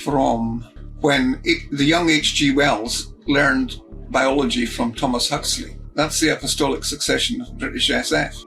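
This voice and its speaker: A man giving thoughtful, unscripted interview commentary, pausing as he forms each thought. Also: medium pitch, steady tone, gravelly, pushed and bright, faint music behind, low-quality recording. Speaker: guest commentator.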